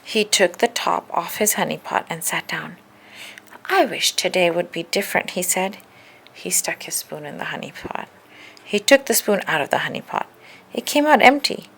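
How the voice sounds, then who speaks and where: Low, creaky woman reading a storybook. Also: expressive, moderate pace, a bit bright, a little hiss or hum. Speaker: storybook reader. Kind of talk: children's read-aloud narration.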